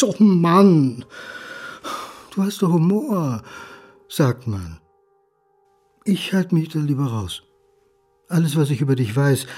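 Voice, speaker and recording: An actor playing a nervous, sardonic man of forty-nine, wounded and over-eager, in theatrical, halting one-sided talk in a radio drama. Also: mid-range voice, darkish tone, full character voice. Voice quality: husky, breathy. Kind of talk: audio drama acting.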